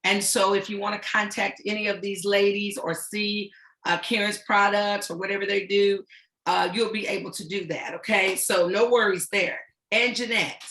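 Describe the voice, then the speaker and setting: A woman in her sixties hosts an online panel with a booming, encouraging delivery. Her tone is bright and forward. She sounds like she is in an echoey room over a video call. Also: fairly even intonation, normal speed. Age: 60s. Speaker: host. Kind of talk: hosting an online panel chat.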